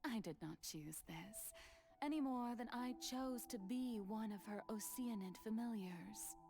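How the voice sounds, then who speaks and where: A woman's voice: on the high side, measured pace, vocal fry. A woman playing a playful, theatrical, self-amused water goddess in a video game, with arch, flowery, formal diction. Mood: wry, musing. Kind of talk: scripted video game character acting. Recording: music behind the voice. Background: music.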